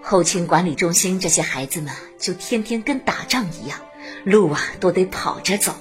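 An actress playing a warm, dutiful middle-aged canteen worker and mother in a radio drama, chatty and earnest. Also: fairly low pitch, lively intonation, moderate pace, faint music behind, close to an everyday voice. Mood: earnest, reflective. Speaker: narrator and lead character. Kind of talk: radio drama acting with first-person narration.

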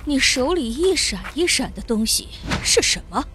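An actress playing a fatalistic, menacing dark elf assassin woman in a fantasy radio drama. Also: sits high, very animated, normal speed, background noise. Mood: wary.

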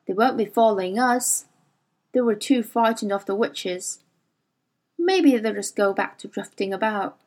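A woman reading an audiobook, voicing a quiet, serious boy who talks tersely and awkwardly. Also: medium pitch, a little slow, natural voice.

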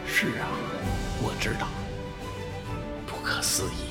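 A man's voice: takes it slowly, over music, close to an everyday voice. A man acting in a radio drama as a bewildered alien companion, hesitant and marvelling. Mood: puzzled wonder.

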